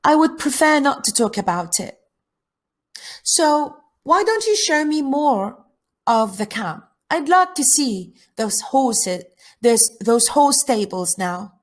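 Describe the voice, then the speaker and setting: A woman's voice: sits high, sing-song, takes it slowly, pitched above the performer's own voice, heavily acted. A woman reading the part of a guarded, well-bred foreign girl in a play, with formal, precise phrasing. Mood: reserved.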